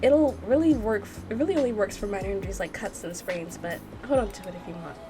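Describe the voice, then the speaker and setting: A woman's voice: medium pitch, quick pace, over music, natural voice. Woman as a blunt young anime guy. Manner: curt, blunt, awkwardly concerned. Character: blunt, gruff but caring young man from anime game. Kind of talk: visual novel character voicing.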